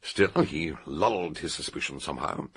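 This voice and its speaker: An actor playing an eccentric older British detective in a radio drama, theatrically and deliberately laying out a murder solution in a gravelly, husky voice. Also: male voice, rumbling low, expressive, exaggerated voice. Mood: animated, authoritative.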